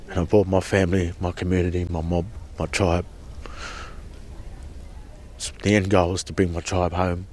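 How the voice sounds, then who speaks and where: Guy, really low voice, earnest and passionate, keeps restarting words. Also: flat delivery, normal speed, pauses often, darkish tone, slight background noise. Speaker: protester at a sacred site camp. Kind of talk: impassioned personal testimony.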